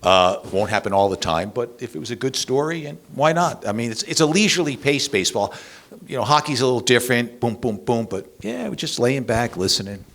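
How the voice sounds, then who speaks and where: A man's voice: mid-range voice, expressive, fairly quick, fairly bright tone. A man in his sixties, a hockey play-by-play announcer, talking conversationally on a panel and illustrating points with acted-out dialogue.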